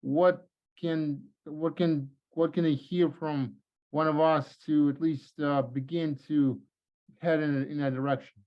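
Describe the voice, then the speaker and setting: A man with a fairly high voice speaks very slowly and haltingly, searching for words as he asks something. The audio is dull and lo-fi. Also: fairly even intonation. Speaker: workshop participant asking a question. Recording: dull, lo-fi online call audio.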